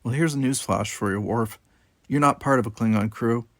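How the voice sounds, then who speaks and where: A man's voice: medium pitch, moderate pace, fairly bright tone, dry recording. A man voicing a feisty young human woman cadet, blunt, pointed and colloquial, in a story reading. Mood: angry.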